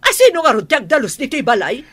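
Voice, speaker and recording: An actress voices a haughty rich madam in a radio drama. Her voice is slightly high and swings widely, demanding and sharp, with a dry close sound. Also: sing-song, fast talker, slightly rough, dry recording, full character voice. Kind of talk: Ilocano radio drama acting.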